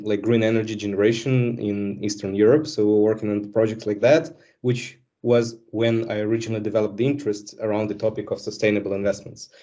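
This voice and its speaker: A man in his thirties from Belarus talks about his experience in a master's program in an articulate, businesslike way. His voice is low and mellow, and the recording is slightly dull and lo-fi. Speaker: student speaker. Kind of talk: personal testimonial. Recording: dull lo-fi sound.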